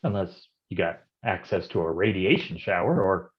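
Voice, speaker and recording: A man's voice: low-pitched, lively intonation, normal speed, dull audio. An actor playing a wisecracking, down-at-heel noir private detective in a stage reading, with slangy tough-guy talk. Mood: guarded. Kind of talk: noir stage acting with narrated monologue.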